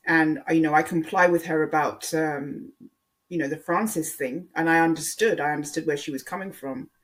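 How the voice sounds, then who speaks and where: A video creator talking in a casual, rambling way, hesitant and often repeating words for emphasis. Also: medium pitch.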